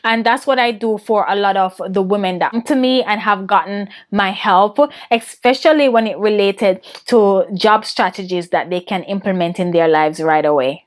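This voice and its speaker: A woman's voice: medium pitch, normal speed, hardly any pauses. A woman with a Jamaican accent, answering interview questions in an earnest, motivational way as a coach for women, laughing often.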